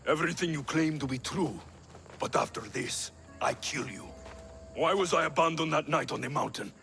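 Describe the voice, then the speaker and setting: An actor playing a bitter, menacing young warrior in a video game, proud and wounded, with an intense, taunting delivery. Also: male voice, on the high side, animated, normal speed, creaky, pushed and bright, exaggerated voice. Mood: challenging. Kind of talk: video game cutscene acting.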